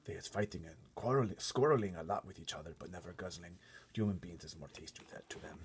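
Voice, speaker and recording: A man voicing a gentle, nervous giant who speaks in a hushed way with folksy, muddled grammar. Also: low voice, quick pace, slightly rough, heavily acted. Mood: explaining. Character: gentle, nervous friendly old-fashioned giant.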